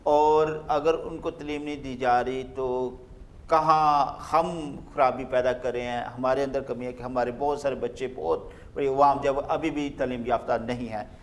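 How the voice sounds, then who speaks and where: A man's voice: medium pitch, steady tone, normal speed. A man with a Pakistani accent hosting a talk show interview, affirming his guest and mixing in English phrases.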